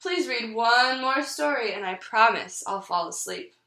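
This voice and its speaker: Woman as an excitable, high-pitched little chicken girl. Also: measured pace, echoey room, full character voice. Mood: pleading, earnest. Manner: bursts in with urgent exclamations.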